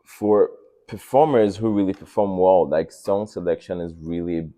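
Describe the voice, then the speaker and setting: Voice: low voice, normal speed, warm tone. The host of a casual interview show, talking freely and laughing often.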